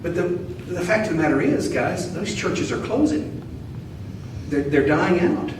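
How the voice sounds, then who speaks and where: Southern man, priest, fairly high voice, folksy and joking, boomy hall, mic set back. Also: expressive, a little slow. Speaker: Orthodox priest, guest speaker. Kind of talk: casual Q&A talk. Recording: echoey hall, mic at a distance, faint noise.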